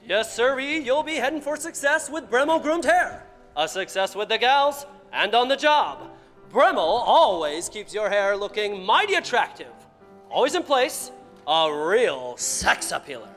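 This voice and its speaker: Young announcer on a theater stage, salesy 1940s delivery, high voice. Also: male voice, sing-song, unhurried, fairly bright tone. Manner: folksy, salesy. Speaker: radio announcer. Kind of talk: 1940s radio ad reading. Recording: hall reverb with music.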